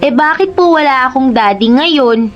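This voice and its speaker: A high, childlike voice of an innocent young boy acted by a woman in a radio drama. He speaks politely and pleadingly. The sound is a bit muffled, with music underneath. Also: animated, moderate pace. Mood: hurt, questioning. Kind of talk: Tagalog radio drama acting.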